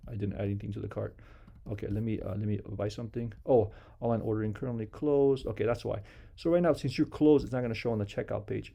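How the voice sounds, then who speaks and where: A man walks through software settings in an informal, unscripted way. His voice is mid-pitched and relaxed, with a noticeable creak. He explains each step simply and often repeats himself. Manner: simple, repetitive step-by-step instruction. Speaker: software tutorial presenter. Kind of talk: casual unscripted screen-share walkthrough.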